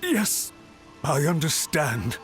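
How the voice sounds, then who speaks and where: A man's voice: a little high, big pitch swings, takes it slowly, soft background music. An actor playing a submissive male servant in a game, speaking in a hesitant, deferential way. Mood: nervous, meek. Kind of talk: scripted game dialogue.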